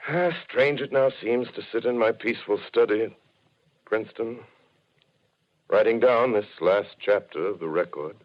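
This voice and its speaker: Deep-voiced solemn scholar on old radio. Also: male voice. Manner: grave, theatrical, measured phrasing. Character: solemn, reflective scholar, survivor of an alien invasion. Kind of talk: 1930s radio drama monologue, literary narration. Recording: muffled old 1930s radio broadcast.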